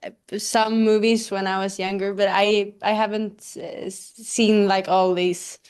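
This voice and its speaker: A young actress from Sweden answering interview questions hesitantly, with many fillers. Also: female voice, mid-range voice, level tone, unhurried, darkish tone, clean tone. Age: young adult. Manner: hesitant, lots of fillers, laughs easily. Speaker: actress guest.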